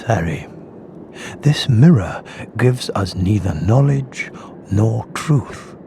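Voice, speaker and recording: A man voicing a wise, gentle old wizard headmaster in a video game, with a measured, grave, kindly manner. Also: medium pitch, creaky, slight background noise.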